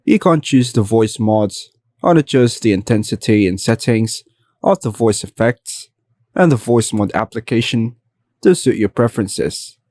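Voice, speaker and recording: A man narrating a scripted step-by-step software tutorial in an even, instructional manner. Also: on the low side, moderate pace, no room sound, studio quality.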